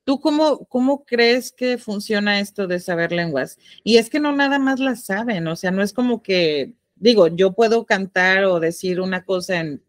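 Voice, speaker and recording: A woman's voice: medium pitch, no echo. A young woman with a Mexican accent co-hosting a casual podcast, explaining ideas and posing questions to her partner. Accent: Mexican Spanish. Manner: inquisitive, explains and poses questions, laughs easily. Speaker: podcast co-host. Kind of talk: casual chat.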